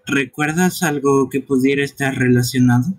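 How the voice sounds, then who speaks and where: A man's voice: mid-range voice, moderate pace. A man streaming a live fan dub of a video game, reading its dialogue aloud in character. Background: game music.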